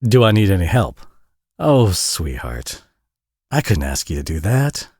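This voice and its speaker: Man playing a low-voiced, folksy Southern single dad. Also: sing-song, measured pace, a little mellow, breathy edge, no room sound, clean studio sound, exaggerated voice. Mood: flustered, apologetic. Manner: folksy, rambling, stammering and self-deprecating. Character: tired, flustered, friendly Southern single dad. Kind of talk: intimate one-sided audio roleplay.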